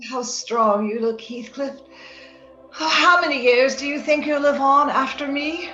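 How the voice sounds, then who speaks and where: Actress as fevered young lady, dreamy romantic radio acting, very high voice, echoey dull recording. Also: a little slow, bright, forceful tone. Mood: yearning, sorrowful. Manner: dreamy, yearning, theatrical. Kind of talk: old-time radio drama acting. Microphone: recorded remotely over video call. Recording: echoey, dull video-call sound, music underneath.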